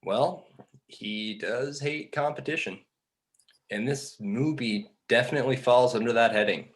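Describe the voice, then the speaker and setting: A man playing a pedantic exiled angel in a film script table read, explaining things in a dry, measured way. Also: medium pitch, close to an everyday voice. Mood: exasperated. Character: Bartleby, exiled male angel, reasoning, pedantic, exasperated schemer.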